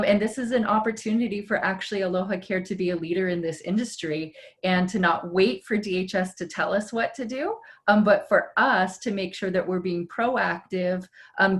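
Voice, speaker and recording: A woman from Hawaii moderating a panel webinar over a video call, speaking in a measured way with frequent pauses. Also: on the low side.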